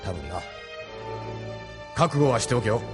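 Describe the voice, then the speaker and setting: A seasoned, unfussy spaceship captain in an anime radio drama. His medium-pitched voice carries long reverb, with faint music behind it. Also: big pitch swings, a little slow. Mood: grave, resigned. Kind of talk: Japanese anime audio drama acting.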